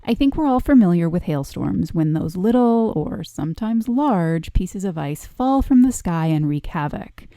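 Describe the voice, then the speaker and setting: Low mellow-voiced woman podcast host. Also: animated, normal speed, few pauses, no room sound, studio quality. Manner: enthusiastic, upbeat. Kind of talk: podcast interview.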